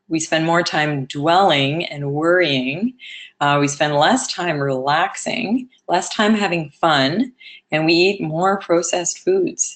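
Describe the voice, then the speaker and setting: A woman presenting a health webinar in a casual, conversational way, with a low, rather lethargic-sounding voice and frequent ums. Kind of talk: educational webinar talk.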